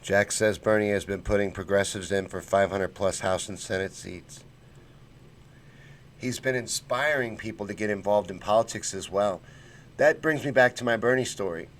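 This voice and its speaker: A man's voice: fairly low pitch, moderate pace, slight background noise. A man hosting a casual political livestream, reading chat comments and talking bluntly with fired-up conviction and folksy slang.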